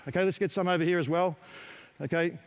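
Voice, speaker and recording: A middle-aged man with an Australian accent, coaching players in a gym with brisk, direct instructions. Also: fairly high pitch, steady tone. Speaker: basketball coach. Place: large indoor basketball gym. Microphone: headset mic.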